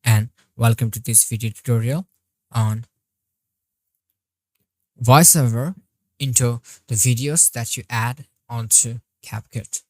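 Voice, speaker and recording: Very deep-voiced woman, slow tutorial narration. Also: dry recording. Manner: step-by-step instructing, narrating each click. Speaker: software tutorial instructor. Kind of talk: screen-recorded tutorial walkthrough.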